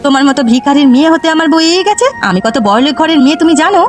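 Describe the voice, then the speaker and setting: A woman voicing a haughty, spiteful younger village woman in a cartoon, scornful and sharp-tongued. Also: sits high, lively intonation, rapid-fire. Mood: contemptuous. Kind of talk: Bengali cartoon voice acting. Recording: music behind the voice.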